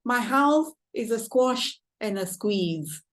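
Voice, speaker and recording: A woman's voice: a little high, animated, slow, fairly bright tone. A woman putting on a fretful old lady. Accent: Kenyan. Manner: wailing, exclaiming and pleading. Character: fretful little old woman in a cramped house.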